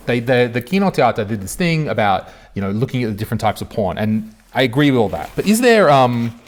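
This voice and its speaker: A man hosting a comedy podcast interview, chatting casually and rambling through stories with joking asides. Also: lowish voice. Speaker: podcast host.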